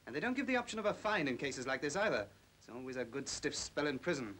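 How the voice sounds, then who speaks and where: A suave upper-class English schemer, hissy. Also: male voice, mid-range voice, very animated, fast, fairly bright tone. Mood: pressing, cynical. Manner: suave, persuasive. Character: smooth, manipulative well-off English captain, fond of drink. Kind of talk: 1940s British film drama acting. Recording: hissy old film soundtrack.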